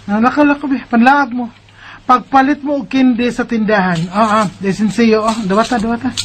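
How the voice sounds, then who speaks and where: A woman's voice: a little high, fairly even intonation, fairly quick, close to an everyday voice. A woman playing a secretive mother in a radio drama who warns and orders her children about. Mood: bossy warning. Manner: hushed, warning and bossy. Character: secretive, sharp-tongued young mother.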